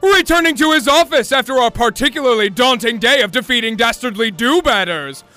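A man's voice: very high-pitched, lively intonation, fairly quick, pushed and bright, faint music behind, exaggerated voice. A man playing a pompous, melodramatic narrator of a comic detective radio serial. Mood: grandiose.

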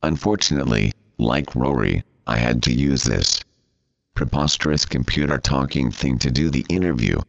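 A synthetic computer voice playing a formal, easily flustered male interviewer, very deep and gravelly. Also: moderate pace, full character voice. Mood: formal, dry. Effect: computer text-to-speech voice. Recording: dull, muffled audio.

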